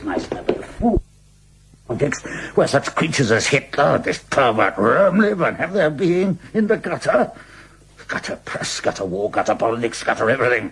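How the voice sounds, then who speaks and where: An imperious old field marshal in a radio play. His voice creaks with gravelly fry and swings widely in pitch, blunt and full of contempt, over faint background noise. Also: fairly bright tone. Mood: scornful rant. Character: gruff, scornful old field marshal and president. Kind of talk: audio drama acting.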